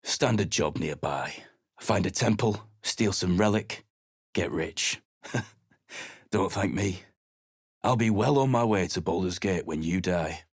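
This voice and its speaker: A man voicing a gruff, rough-spoken mercenary leader, surly and contemptuous. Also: sits low, slow, long pauses, dry recording, studio quality, heavily acted. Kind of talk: video game voice acting.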